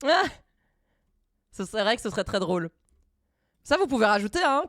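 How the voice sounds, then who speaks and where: A woman streamer chatting casually while she codes live, thinking aloud in halting bits and dropping in English coding terms. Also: a little high, sing-song, a bit bright, no echo, clean studio sound. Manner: thinks aloud, halting, many fillers and restarts.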